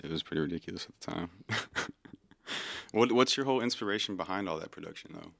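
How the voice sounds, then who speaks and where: A man's voice: deep voice, lively intonation, fast talker, creaky, fairly bright tone, no room sound. A man from Texas, hosting a casual podcast chat in a laid-back, cursing manner. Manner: laid-back, swears casually, hypes guests. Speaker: podcast host.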